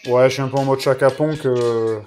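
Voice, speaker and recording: Male reviewer, soft dark timbre, informal and self-deprecating, chatting through a hands-on demo with the odd mild swear. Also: mid-range voice, steady tone, moderate pace, faint music behind. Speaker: product reviewer. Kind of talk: casual hands-on review chat.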